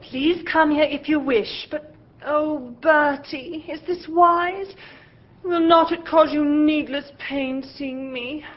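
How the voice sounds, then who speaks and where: A woman playing a sentimental, soulful young woman who talks in a gushing, drawn-out way. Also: high-pitched. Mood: wistful. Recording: dull lo-fi recording.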